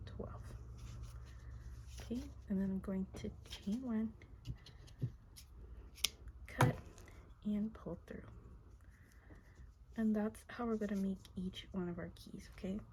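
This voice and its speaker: A woman teaching crochet step by step, speaking softly in a breathy, half-whispered voice as she is losing her voice, counting stitches aloud and checking in with "okay?". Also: mid-range voice, slight background noise, rough recording. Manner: soft-spoken, counts stitches aloud, many fillers like "like" and "okay?". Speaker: crochet tutorial maker. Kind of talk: casual step-by-step craft tutorial.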